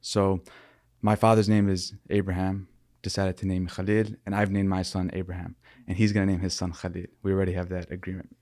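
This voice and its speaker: A young man from Michigan, talking earnestly and thoughtfully as a podcast guest, often pausing and restarting his sentences. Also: sits low, level tone, darkish tone, no room sound, studio quality. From Michigan, USA. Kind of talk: reflective interview talk.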